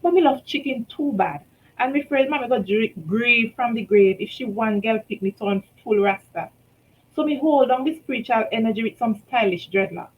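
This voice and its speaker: A Vincentian woman reading her own short story aloud, telling it in rhythmic Creole with lively shifts in loudness. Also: fairly high pitch, normal speed, phone-quality sound, a little hiss or hum. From St. Vincent and the Grenadines.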